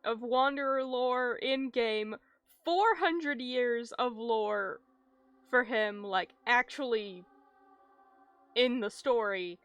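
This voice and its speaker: A woman livestreaming a game with casual, expressive commentary full of swearing and exaggerated dismay. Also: a little high, slow, clean tone, no echo. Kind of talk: casual gaming commentary with read-aloud game text. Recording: game music faintly behind. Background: video game music.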